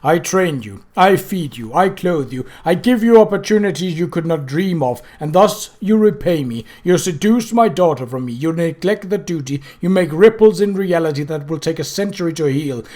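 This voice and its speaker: Death done by a guy, stern and slow, loud and looming, audiobook style. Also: fairly high pitch, expressive. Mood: cold anger. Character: Death, towering cold skeletal lord, angry.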